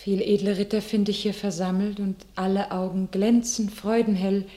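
An actress playing a saintly young warrior maiden in a classical verse radio drama, fervent, pleading and declamatory. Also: female voice, medium pitch, level tone, moderate pace, warm tone, lightly acted. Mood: gentle, pleading. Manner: declamatory, exalted.

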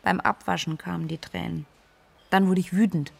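An actress playing a bitter, bereaved young woman in a radio drama, blunt and defensive, with a husky voice. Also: fairly low pitch, fairly even intonation, normal speed. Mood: shaken, angry. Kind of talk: radio drama, interview-like monologue.

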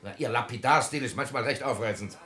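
A man's voice: mid-range voice, fast talker, bright, forceful tone, faint music behind, natural voice. An actor playing a brash, impatient businessman in a radio drama, blustering, demanding and sarcastic. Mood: irritated, domineering. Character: brash, impatient, sneering son-in-law in business. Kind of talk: German radio drama acting.

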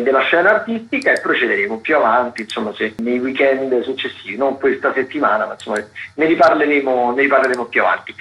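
A man hosting a radio arts programme, talking through listings in a halting way with drawn-out words. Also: medium pitch. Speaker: radio host presenting theatre and dance listings. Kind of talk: semi-scripted radio arts commentary. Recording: roomy echo, slightly dull radio sound.

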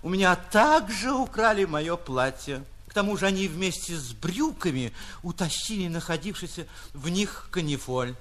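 A man's voice: sits high, lively intonation, moderate pace, few pauses, fairly bright tone, voice pushed up. A man voicing a pompous, gallant, self-satisfied musician with florid, over-courteous speech in a radio story reading. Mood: pleading courtesy.

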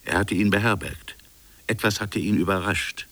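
Radio drama colonial official: low, brooding, insistent narrator of his own inquiry, close dry sound. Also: moderate pace, fairly bright tone, no echo. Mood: intent, sober. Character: colonial official recounting his stubborn private investigation. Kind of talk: radio drama dialogue and first-person narration.

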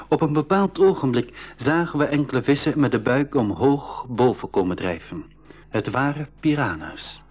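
An actor narrating an adventure in the first person as an expedition member, with measured, scripted storytelling. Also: male voice, mid-range voice, slightly rough. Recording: dull 1980s radio recording.

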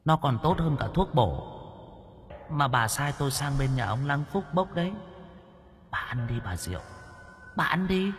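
A man voicing the vengeful ghost of a young woman in a spoken horror tale, in a high, hushed, distant voice. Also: expressive, measured pace, pauses often, in a large hall, a little hiss or hum. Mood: coaxing, eerie.

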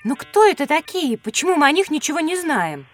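A woman voicing an inquisitive, lively child in a children's educational audio drama, with an animated, questioning manner. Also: high voice, a little fast. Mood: curious.

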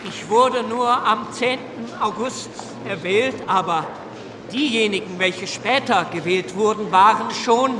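An impassioned orator in a radio drama, declaiming to an assembly. His voice is pitched very high and rings through a reverberant hall over a noisy crowd. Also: unhurried. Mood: declamatory. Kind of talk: declaimed political speech in radio play.

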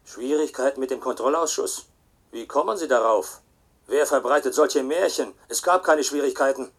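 Man acting stern supervisor, curt, defensive, dismissive, medium-pitched, radio drama. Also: normal speed, natural voice. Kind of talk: radio play dialogue.

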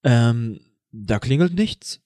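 A cheeky teenage boy acted by a man in an audio drama, recorded dry. He speaks at a middle pitch with mock politeness, playing innocent while being quietly insolent. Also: lively intonation, slow, no room sound. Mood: feigned innocence. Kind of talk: fan audio drama acting.

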